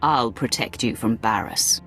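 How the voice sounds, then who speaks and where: A woman's voice: rumbling low, measured pace, over music. An actress playing a commanding female Sith lord in a game, speaking in a cool, clipped, sardonic way. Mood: dry, imperious. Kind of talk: video game voice acting.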